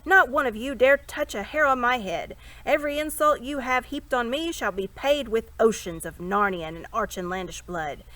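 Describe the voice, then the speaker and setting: A woman's voice: on the high side, lively intonation, moderate pace, few pauses, pushed and bright, pitched above the performer's own voice. A woman voicing a furious, sulking captive young prince in a storybook, spluttering bombastic threats with theatrical defiance. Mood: menacing rage.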